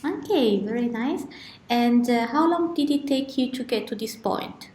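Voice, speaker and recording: A woman interviewer asks her questions politely, pausing on small fillers. Her voice sits a little high, and the room gives it a clear echo. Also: animated, darkish tone.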